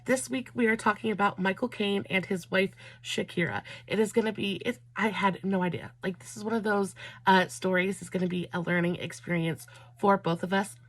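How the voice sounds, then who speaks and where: Hoarse, chatty woman with a cold. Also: mid-range voice, steady tone, no room sound. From Southern United States. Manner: warm, effusive, drawn-out emphatic phrasing. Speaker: beauty vlogger. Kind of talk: chatty makeup vlog with storytelling.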